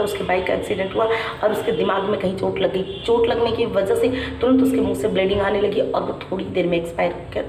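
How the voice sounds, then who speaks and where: A young woman vlogger, her voice worn by a sore throat, talking softly and haltingly to her viewers from home. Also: mid-range voice. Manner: soft, halting, reflective; frequent restarts with "matlab". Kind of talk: personal vlog talking to viewers. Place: room at home. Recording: echoey room, mic at a distance, faint hum.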